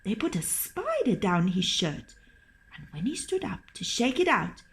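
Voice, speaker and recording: A woman reading a children's tale as a storyteller, in an expressive, dramatic and deliberate manner. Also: mid-range voice.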